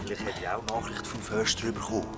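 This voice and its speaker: An actor playing a mocking, crude young friend in colloquial radio drama banter. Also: male voice, lowish voice, fairly even intonation, fast, loud music behind the voice, lightly acted. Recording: very noisy scene.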